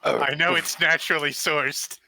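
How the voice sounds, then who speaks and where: A man's voice: fairly high pitch, fairly even intonation, measured pace, sharp tone, low-quality recording. A man playing in a tabletop game, crude and joking, swearing often as he talks. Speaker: player. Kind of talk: tabletop banter.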